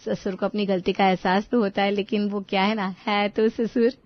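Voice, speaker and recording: A woman's voice: medium pitch, flat delivery, moderate pace, no echo. A woman narrating a scripted children's story as the storyteller. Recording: dull lo-fi audio.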